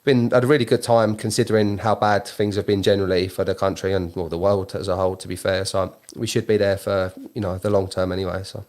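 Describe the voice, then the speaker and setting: A man's voice: low-pitched, flat delivery, fast talker, hardly any pauses, darkish tone. A man with a southern English accent chatting casually as an interview guest, explaining things in a self-deprecating way. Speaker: guest, clothing brand founder.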